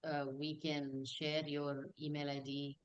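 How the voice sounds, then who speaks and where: A woman's voice: low voice, flat delivery, slow, smooth, dull audio. A woman moderating an online session, politely closing questions and handing over. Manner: polite, wrapping up.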